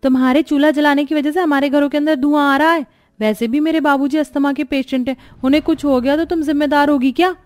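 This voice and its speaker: A woman's voice: sits high, steady tone, fast, a little mellow, faint music behind, voice pushed up, lightly acted. A woman voicing an angry village woman in a cartoon, taunting and indignant as she scolds.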